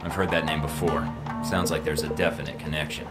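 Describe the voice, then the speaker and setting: An actor playing a cool, sardonic young field agent in a video game, with dry, clipped delivery. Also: male voice, a bass voice, little pitch movement, brisk, loud music behind the voice. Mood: businesslike. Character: cool sardonic male rookie secret agent.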